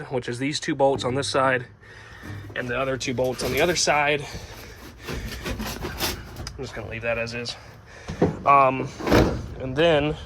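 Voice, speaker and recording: Raspy young man, rambling garage vlog. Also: mid-range voice, a bit bright, slight background noise. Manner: rambling, filler-heavy, self-correcting. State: just woke up. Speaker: truck restoration vlogger. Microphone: phone camera.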